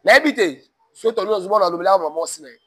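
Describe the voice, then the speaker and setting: High-voiced Congolese man preaching. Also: animated, rapid-fire, pushed and bright. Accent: Congolese French. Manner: emphatic, mixes French and Lingala, sermon cadence. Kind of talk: preaching-toned interview talk.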